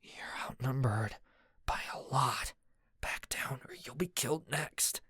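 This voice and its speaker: A man voicing a wary young male prisoner who talks in hushed, urgent whispers in a storybook reading. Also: medium pitch, slow pace, breathy edge, no echo, full character voice.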